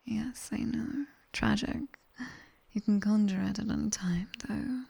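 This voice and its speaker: A British woman playing a sharp-tongued nightmare demoness tutor in an ASMR roleplay, whispering breathily and close to a binaural mic with wry, commanding instruction. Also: medium pitch, steady tone, a little slow, creaky, slightly rough, heavily acted. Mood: wry, teasing. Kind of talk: ASMR roleplay, guided sleep meditation. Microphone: close binaural microphone.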